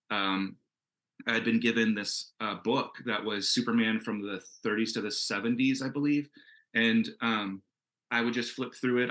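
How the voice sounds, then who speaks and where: A man answering as a creator on a casual panel over a video call, chatty and halting as he thinks aloud. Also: on the low side, pushed and bright. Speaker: comic book creator and panel guest.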